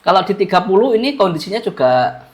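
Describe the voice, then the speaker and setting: A man's voice: high-pitched, very animated, quick pace. Stuffy-nosed man explaining charts. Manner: casual, repeats key phrases, addresses viewers as friends. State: stuffy-nosed, under the weather. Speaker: trading analyst. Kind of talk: chart analysis walkthrough.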